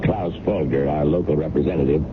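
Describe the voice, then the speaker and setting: A man playing a genial, easygoing producer in charge, in an old-time radio drama, talking in a gravelly, conversational way. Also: rumbling low, steady tone, brisk. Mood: easygoing, businesslike. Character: genial American male broadcast producer, in charge. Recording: noisy, tinny old radio recording.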